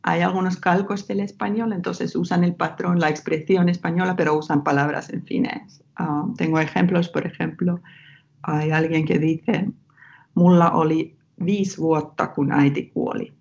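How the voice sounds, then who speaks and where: Woman, Finnish accent, native Finnish speaker, gravelly voice, slightly dull sound, thoughtful, hesitant. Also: lowish voice, fairly even intonation, normal speed, darkish tone. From Finland, Ostrobothnia. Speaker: researcher guest. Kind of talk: academic interview.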